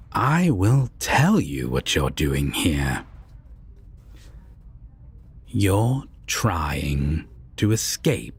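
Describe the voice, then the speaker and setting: A man playing a menacing, condescending vampire captor in a roleplay audio drama, talking in a mocking, deliberate way with husky, breathy whispers. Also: low-pitched, exaggerated voice. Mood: menacing, accusing. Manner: slow, deliberate, mocking, formal phrasing.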